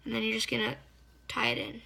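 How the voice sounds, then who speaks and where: Hoarse woman teaching fly tying. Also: on the high side, flat delivery, fast talker, sharp tone. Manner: chatty, stops to search for words. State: slightly hoarse. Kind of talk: casual hands-on tutorial.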